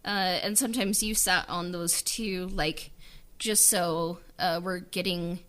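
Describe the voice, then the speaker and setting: Bright-voiced woman chatting casually. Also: on the low side, steady tone, slow pace. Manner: rambling, heavy use of filler words. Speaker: writer and producer of an audio drama. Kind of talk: casual interview chat.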